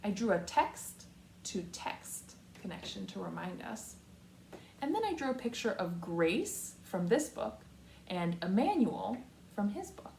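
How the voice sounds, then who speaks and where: An American woman, a kindergarten teacher, giving a read-aloud lesson to young children in simple, deliberate phrases with repetition. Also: medium pitch, sing-song, gravelly, a little mellow. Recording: roomy echo, distant mic, faint noise.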